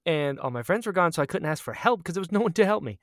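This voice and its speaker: A man's voice: a little high, animated, fast talker, a bit bright, no room sound. A man chats casually on a podcast in a voice that swings up and down a lot. He is joking and self-deprecating, and laughs readily. The recording is dry, with hardly any room sound. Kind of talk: casual chatty banter.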